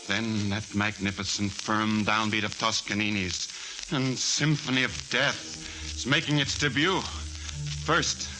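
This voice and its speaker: An actor as a low-voiced, grandiose, unstable composer. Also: male voice, close to an everyday voice, rough recording. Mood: rapt, grandiose. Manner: theatrical, rhapsodic, dramatic pauses. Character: grandiose, unstable male composer, feverish and doomed. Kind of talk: 1940s radio drama acting. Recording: old 1940s radio recording, music behind.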